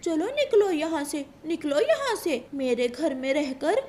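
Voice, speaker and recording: A woman voicing a proud, sharp-tongued mother crow in a Hindi cartoon story, with a dramatic manner. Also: sits high, sing-song, unhurried. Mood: furious.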